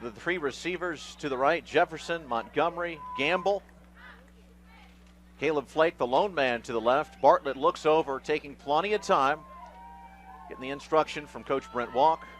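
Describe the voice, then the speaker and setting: A male radio announcer with a fairly high, very bright and forceful voice calls a football game live in short, clipped phrases. His calls turn emphatic on big plays. Faint outdoor noise sits behind him. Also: normal speed. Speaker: play-by-play announcer.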